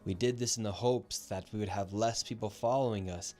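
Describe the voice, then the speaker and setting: Low-voiced male travel narrator. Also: steady tone, brisk, a little mellow. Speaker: video narrator. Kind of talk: scripted travel documentary narration.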